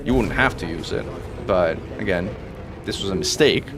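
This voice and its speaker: A man from Italy whose first language is Italian, giving excitable live commentary as he plays, talking through each move. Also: low-pitched, normal speed. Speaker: streamer and commentator.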